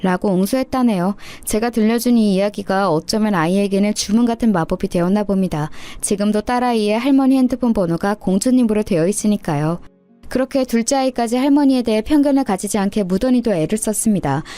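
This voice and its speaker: A woman's voice: mid-range voice, fairly quick, warm tone. A woman reading a scripted first-person story as a narrator in a radio-story style.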